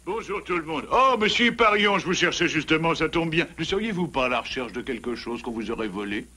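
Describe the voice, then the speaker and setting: A brisk, bright cartoon village doctor. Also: male voice, fairly high pitch, animated. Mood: cheerful. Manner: emphatic, courteous, talkative. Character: brisk, kindly, persuasive male village doctor animal. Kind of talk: French cartoon dub acting.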